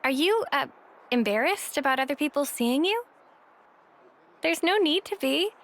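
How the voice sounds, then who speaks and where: A woman playing a breezy, teasing, outgoing young woman in a video game, with a playful, casual and expressive delivery. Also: sits high, moderate pace. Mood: friendly, reassuring. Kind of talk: video game cutscene acting.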